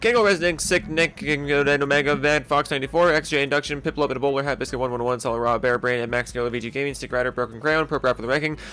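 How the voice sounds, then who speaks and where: A man's voice: medium pitch, racing, few pauses, bright, forceful tone. A man who makes videos, talking in a blunt, sweary, sarcastic way. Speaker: video creator. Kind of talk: opinionated rant and reading out supporter names.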